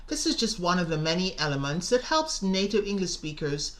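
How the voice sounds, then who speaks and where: A woman's voice: lowish voice, very animated, fairly quick. A middle-aged woman teacher narrating a scripted lesson, enunciating carefully and stressing key words. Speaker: English teacher and presenter.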